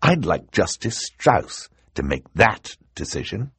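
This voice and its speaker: A stuffy middle-aged banker acted by a male narrator, flustered and indignant. He blusters with heavy emphasis, his pitch leaping about and his voice husky, as in a storybook reading. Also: sing-song, takes it slowly, a bit bright, no echo, heavily acted. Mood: polite, firm. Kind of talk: audiobook character acting.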